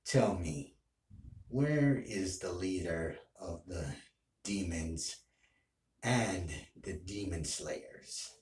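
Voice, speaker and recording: Man playing a slow, menacing low-voiced anime villain. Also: expressive, darkish tone, heavily acted, rough recording. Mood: quiet menace. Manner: slow, deliberate, ominous taunting. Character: menacing male villain, neither human nor demon, craving power. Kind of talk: anime villain role play.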